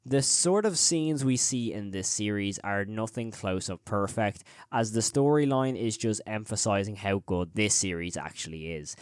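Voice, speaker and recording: Man, horror channel host, scripted narration, crisp clean voice, medium pitch, dry sound. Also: moderate pace, hardly any pauses, no room sound. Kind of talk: scripted video essay narration.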